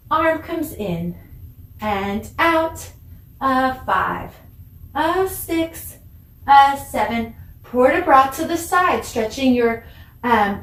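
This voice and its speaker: Slow-paced woman dance teacher, echoey room. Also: a little high. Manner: encouraging, deliberate, demonstrative; mixes English with simple Spanish phrases. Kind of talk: instructional dance lesson for children. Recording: echoey room, distant microphone.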